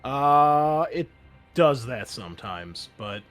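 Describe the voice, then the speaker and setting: A man's voice: medium pitch, expressive, takes it slowly, fairly bright tone. A man narrating a tabletop game as game master in a casual, conversational, descriptive way. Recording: music playing underneath. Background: music.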